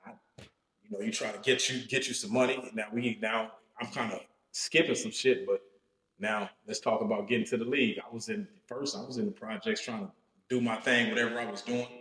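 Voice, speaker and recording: A man in his thirties, a podcast guest telling his own story in a slow, reflective, casual way, often checking in with "you know what I'm saying". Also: on the low side, fairly even intonation, fairly bright tone. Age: 30s. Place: podcast studio room. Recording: roomy studio echo.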